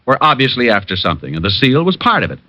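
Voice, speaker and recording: A man plays a cool, cocky private detective who tosses off dry quips. His voice moves lively through its range, and the old radio recording sounds dull. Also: mid-range voice, rapid-fire, no room sound, close to an everyday voice. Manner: dry wisecracks, easygoing. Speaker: narrator and lead. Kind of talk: 1950s radio drama acting.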